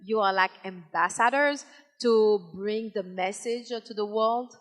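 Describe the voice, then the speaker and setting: A woman hosts an interview show with a Haitian accent. She speaks at a middling pitch in halting phrases, and the sound rings with long hall reverb. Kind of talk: broadcast interview. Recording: very echoey hall.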